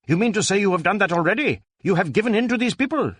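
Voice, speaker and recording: Guy acting a bossy police superintendent in a crime story, sharp and scornful, pitched up, pompous. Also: animated, quick pace, slightly rough, dry recording, clean studio sound, exaggerated voice. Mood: indignant outrage. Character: brusque, scornful, domineering middle-aged Indian police superintendent.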